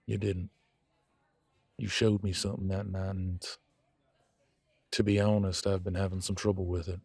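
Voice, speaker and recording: A man playing a proud, blunt werewolf in an audio drama, speaking haltingly as he grudgingly makes peace with a rival. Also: low voice, flat delivery, darkish tone, husky, no echo. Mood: blunt, confessional. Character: gruff proud male werewolf, reluctantly making peace with rival. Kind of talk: scripted audio roleplay drama.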